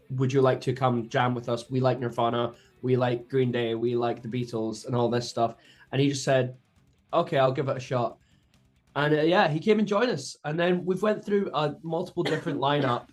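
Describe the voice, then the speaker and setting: A man in a band chatting casually in an interview, relaxed and hesitant, often laughing as he tells stories. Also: medium pitch. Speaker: band member, songwriter.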